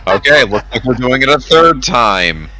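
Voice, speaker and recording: A man's voice: medium pitch, sing-song, normal speed, bright, forceful tone, slight background noise. A man playing a tabletop game, reacting to the dice in a dry, wry manner.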